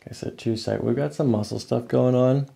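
A man's voice: mid-range voice, a little fast, vocal fry, dark tone. A man in his thirties speaking as a chiropractor, methodically questioning a patient and explaining each step of an exam. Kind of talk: clinical patient consultation and exam commentary. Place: small carpeted chiropractic clinic room and hallway.

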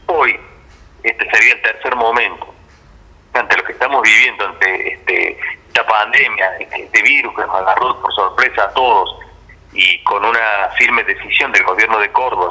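Argentine male interview guest, lowish pitch, very forceful tone, explaining at length over a phone line. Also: normal speed, breathy edge. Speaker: interview guest, theatre director. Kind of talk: spontaneous explanatory interview talk.

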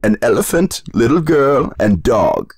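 A man's voice: medium pitch, big pitch swings, unhurried, darkish tone, husky, faint music behind. A man playing a kindly, proud old shoemaker in a fairy-tale cartoon, speaking expressively. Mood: bright, proud. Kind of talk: animated fairy-tale dialogue.

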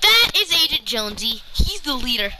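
A player acting out an improvised video game roleplay, speaking haltingly and repeating words. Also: high-pitched, big pitch swings. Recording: noisy home game recording with clicks.

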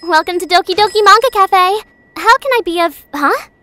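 A woman's voice: very high-pitched, expressive, moderate pace, sharp tone, exaggerated voice. A woman playing a bubbly, friendly young manga cafe worker in an anime-style audio story, with a chirpy, welcoming manner. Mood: cheerful, curious.

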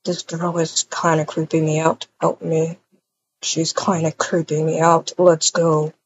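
A woman voicing a calm, quiet young man in a voiced game roleplay, speaking low and mumbled on a dull lo-fi mic. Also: unhurried, husky. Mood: uneasy. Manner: quiet, mumbled, laid-back.

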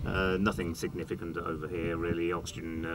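An older man giving an informal guided technical tour as a pilot, explaining controls in a halting, matter-of-fact way. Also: deep voice, flat delivery. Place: inside a small jet cockpit on the ground. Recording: loud outdoor ambient and vehicle noise.

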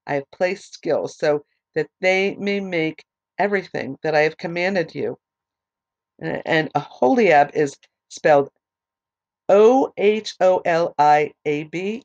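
Woman doing a bible study, voice going out from allergies, reads slow and spells names out. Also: low voice, darkish tone, highs cut off. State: losing her voice from allergies. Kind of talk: reading scripture aloud and explaining.

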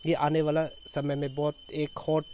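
Higher-voiced man with an Arunachal Hindi accent. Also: completely flat delivery, normal speed, darkish tone, a little hiss or hum. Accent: Northeast Indian (Arunachal). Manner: earnest, repeats phrases in lists for emphasis. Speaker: foundation chairman, activist. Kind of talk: press statement, spoken off the cuff. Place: outdoors in an open clearing with trees. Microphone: handheld reporters' microphones held at chest height.

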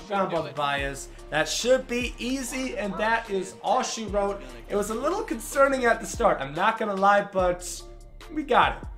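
Young man calling his own ballgame, stuffy. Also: high voice. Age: young adult. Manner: excitable play-by-play, calls hits like a broadcaster. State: congested from a cold. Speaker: gaming YouTuber. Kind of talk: casual gameplay commentary. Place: small room at home, indoors.

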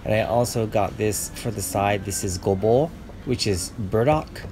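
A man's voice: on the low side, a little slow, darkish tone, slight background noise. A man in his forties narrating a casual vlog, explaining food and his neighborhood to viewers in a chatty way. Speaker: vlogger.

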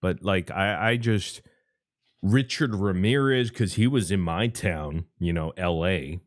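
A man's voice: sits low, unhurried. A man chatting casually as an interviewer, in informal, slangy, exclamatory speech full of swearing. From Los Angeles, USA. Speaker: interviewer, podcast host. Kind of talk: casual chat. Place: remote online call.

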